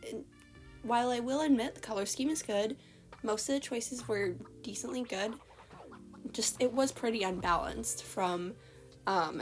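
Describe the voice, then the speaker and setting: A young woman giving casual, rambling voice-over commentary on a costume redesign, her voice slightly hoarse from a recent illness. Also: mid-range voice. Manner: chatty, rambling, many "like" fillers. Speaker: artist commenting on a character redesign. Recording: voice-over with faint background music.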